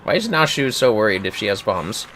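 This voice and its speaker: Animated, sweary male game streamer. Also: on the low side, a little fast, a little hiss or hum, low-quality recording. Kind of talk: casual joking livestream chat.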